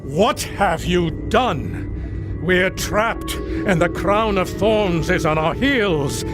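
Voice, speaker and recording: A man's voice: sits high, extremely slow. A man voicing a haughty, cowardly Atlantean prince in a video game, with pompous, theatrical delivery. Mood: panicked indignation. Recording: loud game music behind.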